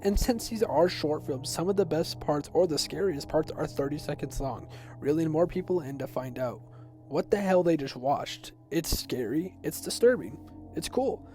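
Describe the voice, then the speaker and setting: A man's voice: on the high side, moderate pace, dark tone. A young man narrating an explainer video essay in an informal, personal way. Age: teens to young adult. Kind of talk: semi-scripted explainer narration. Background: background music.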